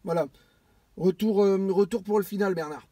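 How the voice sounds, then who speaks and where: A high-pitched man talks casually in a radio contact. He circles back over phrases and restarts often. The sound is dry and close, with no room around it. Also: moderate pace, mellow, no room sound. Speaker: amateur CB radio operator.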